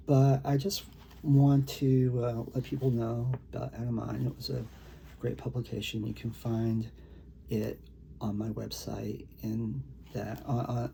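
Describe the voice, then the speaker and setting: A man with a gravelly, creaky voice, a poet talking casually and reading his own work in a halting, conversational way. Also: medium pitch, mellow, a little hiss or hum. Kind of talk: casual talk and poetry reading.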